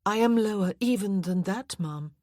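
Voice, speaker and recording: A woman voicing a humble, earnest young woman teacher who speaks softly and politely. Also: mid-range voice, normal speed, dry recording, clean studio sound, pitched above the performer's own voice, lightly acted.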